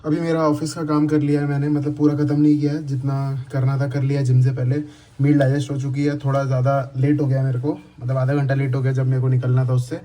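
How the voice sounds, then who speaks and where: A man's voice: mid-range voice, completely flat delivery, fast talker, warm tone. A young man vlogging casually to camera about his fitness routine, talking with a sore throat and a slight cold, switching between Hindi and English phrases. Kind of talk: casual vlog talk to camera. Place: home, then gym.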